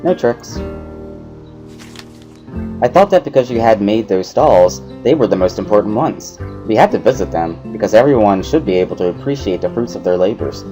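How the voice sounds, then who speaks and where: A man voicing an earnest, brisk teenage schoolgirl with a firm, matter-of-fact manner in a dramatic visual novel reading. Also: on the low side, animated, mellow, soft background music, natural voice. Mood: earnest, insistent.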